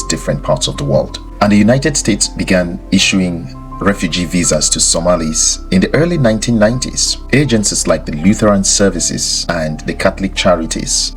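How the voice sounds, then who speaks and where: A man with a very low voice delivers scripted commentary as a podcast host. He is deliberate, posing rhetorical questions and repeating phrases for emphasis. Music plays faintly underneath. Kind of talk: scripted explanatory commentary to listeners.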